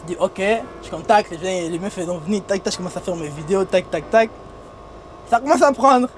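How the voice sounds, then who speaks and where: A man's voice: high voice, fairly quick, slight background noise. A young man with a foreign accent who did not grow up speaking French, talking casually in slang in an interview inside a limousine. He repeats phrases and laughs often.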